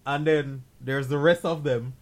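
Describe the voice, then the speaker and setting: A man's voice: medium pitch, steady tone, measured pace. A man co-hosting a movie podcast, chatting casually, teasing his co-host and laughing a lot. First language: not Danish; speaks English. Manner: laughing, teasing, blunt opinions. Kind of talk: casual chat about movies.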